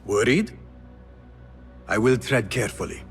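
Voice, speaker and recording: An actor playing a resolute, guarded assassin in a video game, speaking in measured, serious and formal phrases. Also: male voice, mid-range voice, animated, soft background music, close to an everyday voice. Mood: focused, determined. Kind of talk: dramatic game cutscene acting.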